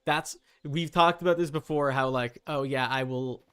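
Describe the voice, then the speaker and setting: Smooth-voiced man chatting casually on stream. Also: mid-range voice, fairly even intonation, moderate pace, fairly bright tone. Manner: rambling, informal, thinking aloud. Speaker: game livestream host. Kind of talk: casual livestream chat.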